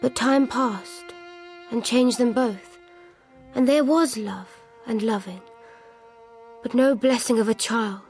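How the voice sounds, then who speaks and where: An actress playing a reflective queen, narrating her story in measured radio drama style. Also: on the high side, a little mellow, faint music behind.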